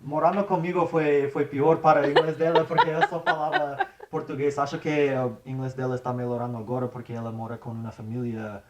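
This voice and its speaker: A man's voice: mid-range voice, a little fast, few pauses, lo-fi sound, heavy background noise. A young American man whose first language is English, chatting in an interview, hesitant, with many restarts and slips into English. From United States. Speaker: interview guest. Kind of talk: casual interview chat.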